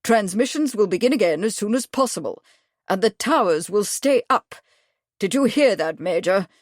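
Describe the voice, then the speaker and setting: A woman's voice: mid-range voice, expressive, normal speed, no echo, studio quality, lightly acted. A woman voicing a brusque, stubborn male company manager who growls his refusals. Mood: fierce. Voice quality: brusque, forceful.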